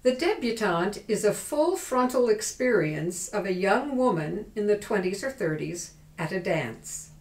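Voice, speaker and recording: A woman reads a scripted stage introduction at a slow pace. Her pitch moves expressively, and the room echoes around her. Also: big pitch swings, recorded from a distance. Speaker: introducer of a dramatic reading. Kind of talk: scripted introduction read aloud.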